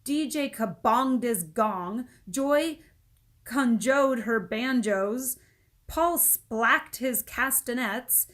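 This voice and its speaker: A woman narrates a children's book in an expressive read-aloud style. Her voice sits on the high side. She takes the words very slowly. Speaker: storybook reader.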